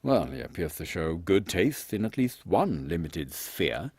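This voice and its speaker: A man playing a courteous, dutiful airship captain in an audio drama, speaking with formal military politeness in a husky voice. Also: low-pitched, sing-song, measured pace, no room sound, studio quality, heavily acted. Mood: courteous, brisk.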